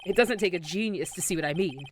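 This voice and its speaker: A woman's voice: on the low side, fast talker, slight background noise, lightly acted. Woman playing a brash game defense lawyer. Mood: accusing. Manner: confident, accusing delivery.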